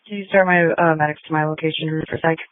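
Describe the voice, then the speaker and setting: High, fast male voice on tinny radio. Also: steady tone, no room sound. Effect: police radio transmission. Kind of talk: brief police radio calls. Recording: narrow telephone-like radio sound.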